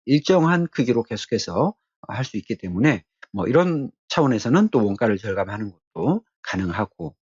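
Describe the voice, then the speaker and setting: A man giving an explanatory technical lecture as an instructor, speaking haltingly and repeating his key phrases. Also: medium pitch, very animated, a little mellow, husky, no room sound, dull audio.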